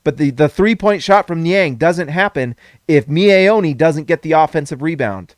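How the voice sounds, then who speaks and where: Excitable male sports host, higher voice, going a bit hoarse, dry close sound. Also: normal speed, no room sound. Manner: excitable, emphatic repeats, self-correcting. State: losing his voice. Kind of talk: casual postgame basketball reaction.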